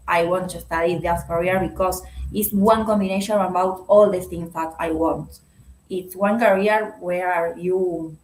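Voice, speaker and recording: A young Argentine woman speaking halting, non-native English with a Spanish accent, answering interview questions on a video call and laughing often. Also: fairly low pitch, reverberant, poor audio. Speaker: guest speaker.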